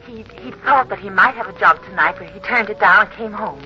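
Anxious, bright-voiced radio wife. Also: female voice, mid-range voice, brisk. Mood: nervously relieved. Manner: hesitant, fretful, polite. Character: anxious, meek, trusting wife in radio drama. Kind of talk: old-time radio acting. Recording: muffled old radio recording with music.

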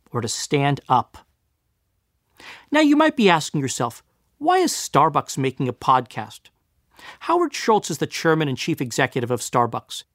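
A middle-aged man hosting a podcast, earnest and lively in his scripted narration. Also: medium pitch, no room sound, studio quality. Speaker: podcast host.

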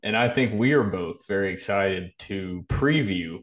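A man with a fairly low voice co-hosts a sports podcast. He gives casual analysis in an analytical way, qualifying his points as he goes. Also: unhurried.

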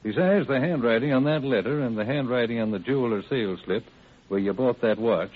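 A man's voice: mid-range voice, brisk, close to an everyday voice. A man playing a stern, relentless police interrogator in a 1930s radio crime drama. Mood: accusing. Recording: muffled, tinny old radio recording.

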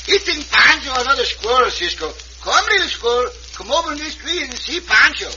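An actor playing a jolly, childlike, heavyset Mexican cowboy sidekick in an old Western radio drama, speaking broken English. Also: male voice, very high-pitched, very animated, a little fast, pushed and bright, heavily acted. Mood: playful. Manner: broken English, refers to himself by name. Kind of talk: 1950s Western radio acting. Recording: dull, faintly noisy old radio recording.

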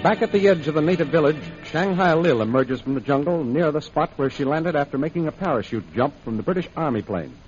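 A man's voice: fairly high pitch, lively intonation, brisk, few pauses, soft background music, lightly acted. A man narrating an old radio adventure serial in a dramatic, scripted style. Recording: muffled old radio recording.

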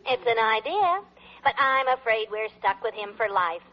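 An actress playing a fed-up, gossipy middle-aged American housewife in a 1940s radio comedy. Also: female voice, on the high side, expressive, normal speed, close to an everyday voice. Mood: resigned. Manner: exasperated, gossipy. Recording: dull old radio broadcast.

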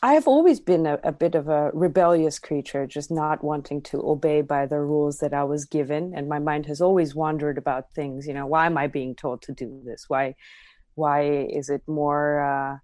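A woman tells a personal story as a podcast guest, circling back and restarting her sentences. Her voice sits a little low. The recording is dry and close. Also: normal speed, dark tone, no room sound. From Armenia.

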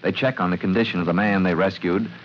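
A man's voice: sits low, fairly even intonation, fast talker. A man narrating and announcing a scripted drama in dramatic old-time radio style. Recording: muffled old radio recording, some noise.

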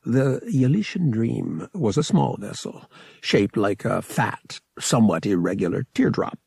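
A man reading a science fiction novel aloud as an audiobook narrator, with expressive storytelling. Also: on the low side, a little slow, creaky, husky, dry recording, studio quality. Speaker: audiobook reader.

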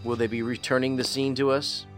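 A man plays a sardonic federal agent with a mid-pitched voice. His delivery is dry and understated, doubting and wry, in a sci-fi audio drama with music underneath. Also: little pitch movement, a little fast, a bit bright, lightly acted. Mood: skeptical. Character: skeptical, dry-witted male federal investigator. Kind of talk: science fiction audio drama acting.

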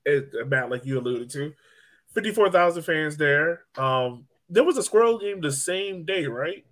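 A man's voice: a little high, expressive, measured pace. A man hosting a podcast, chatting casually about sports. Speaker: podcast host.

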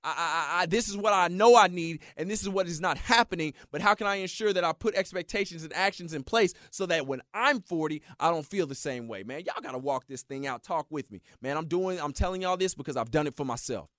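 A man's voice: a little high, fairly quick, hardly any pauses, a bit bright, no echo, studio quality. A man hosting a motivational talk show, preaching in a hyped, repetitive way. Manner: preachy, hyped, repeats "yeah, yeah, yeah" and "yo". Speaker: motivational radio host.

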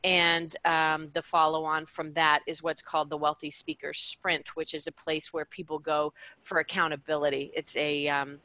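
A woman's voice: low voice, flat delivery, moderate pace, few pauses, sharp tone, dry recording. A middle-aged woman answering interview questions, explaining her business plainly. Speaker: guest, speaker coach.

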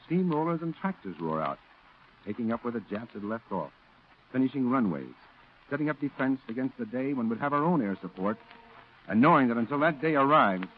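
An actor playing a solemn war correspondent, narrating dramatically in a 1940s radio drama. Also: male voice, lowish voice, phone-quality sound, a little hiss or hum, natural voice. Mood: resolute. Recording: thin old radio recording. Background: music.

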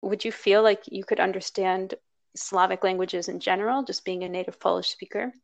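A woman's voice: mid-range voice, steady tone, brisk, creaky, dry recording. A woman hosting a podcast, upbeat and chatty, with easy laughter in a casual conversation. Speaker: podcast host.

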